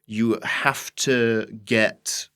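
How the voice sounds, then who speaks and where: A man's voice: medium pitch, steady tone, very slow, bright, forceful tone, smooth. A man chatting casually as a comedian guest in an interview, with playful, dry jokes and mock-earnest asides. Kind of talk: casual conversational interview chat.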